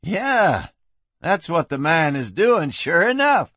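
A man's voice: a little high, wildly swooping pitch, unhurried, fairly bright tone, slightly rough, no echo, voice pushed up, full character voice. A man voicing a hot-headed, blustering cowboy gunslinger in an audiobook Western, full of outrage and frontier slang. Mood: mocking.

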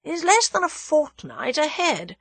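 A woman's voice: on the high side, very animated, normal speed, bright, forceful tone, no room sound, voice pushed up, exaggerated voice. A woman voicing a cool, cocky young Englishman, scornful and confident as he bluffs his captors in a story. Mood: assured.

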